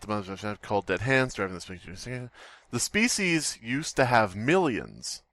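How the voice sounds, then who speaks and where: A man's voice: mid-range voice, a bit bright, dry recording. A man co-hosting a comedy podcast, joking and swearing as he riffs on horror stories. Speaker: podcast co-host. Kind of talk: casual comedic banter about horror stories read aloud.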